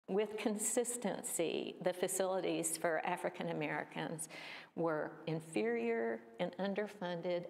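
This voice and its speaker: A woman in her sixties giving an unhurried slideshow talk at a church, with thoughtful pauses and fillers. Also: on the low side, big-room sound. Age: 60s. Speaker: church presenter. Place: church hall.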